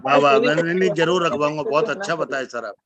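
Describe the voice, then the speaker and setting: A man hosting an online webinar, warmly welcoming speakers and repeating his phrases. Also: fairly high pitch, rapid-fire, highs cut off, a loud crowd. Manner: effusive, repeats words. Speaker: webinar host. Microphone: video call.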